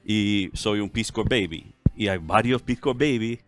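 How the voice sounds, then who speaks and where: A middle-aged man with a deep, low voice talks in Spanish carrying a blended Chilean, Mexican and American accent. He hesitates and restarts often, chatting as a guest on a headset mic in a TV studio. Faint music sits in the background. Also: unhurried. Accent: mixed Chilean, Mexican and American Spanish. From California, United States. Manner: hesitant, many fillers and restarts. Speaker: guest historian. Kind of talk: relaxed interview conversation. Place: TV studio set.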